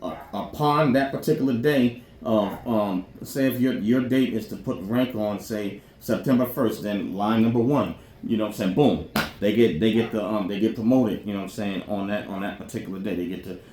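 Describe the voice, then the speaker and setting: A man with a fairly low voice gives an informal, rambling explanation as a solo podcast host. His speech is colloquial and full of check-in phrases. The room echoes noticeably, and faint noise sits behind him. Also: normal speed, darkish tone. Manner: rambling, colloquial, frequently says "you know what I'm saying". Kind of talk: informal off-the-cuff monologue explaining military careers. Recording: roomy echo, faint background noise.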